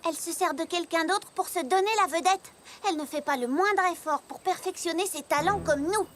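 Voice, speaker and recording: A woman's voice: very high-pitched, a little fast, a bit bright. A woman voicing a brash, impatient little tomboy pony with a blunt childlike manner in a French cartoon dub. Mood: indignant. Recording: music bed under the voice.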